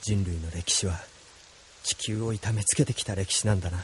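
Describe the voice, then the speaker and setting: A man's voice: low voice, steady tone, moderate pace, a little hiss or hum, close to an everyday voice. A man playing an earnest, troubled young hero in a Japanese audio drama, speaking introspectively. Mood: reflective.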